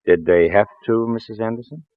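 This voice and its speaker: Folksy, wry American police lieutenant for a male actor, low register, careful questioning; dulled vintage radio sound. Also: fairly quick, no echo, lightly acted. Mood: tactful, probing. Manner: hesitant, folksy, probing. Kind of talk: 1940s radio acting. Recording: muffled vintage 1940s radio recording.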